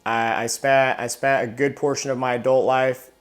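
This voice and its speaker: Male, 30s, interview guest, flat delivery, halting with frequent restarts. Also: medium pitch. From Southern California. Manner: halting, many restarts and repeated words. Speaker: interview guest, retired Marine. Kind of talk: casual interview.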